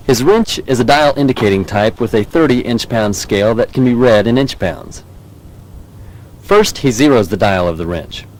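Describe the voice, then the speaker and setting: An American man reading scripted training film narration in a measured, formal, instructional manner. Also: medium pitch, darkish tone, rough recording. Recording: 1990s VHS training film soundtrack.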